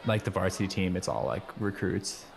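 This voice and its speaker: A man's voice: low voice, expressive, fairly quick, gravelly, background noise. A man playing a laid-back, chummy teenage schoolboy in an improvised radio play, rambling in casual slang. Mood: easygoing, philosophical.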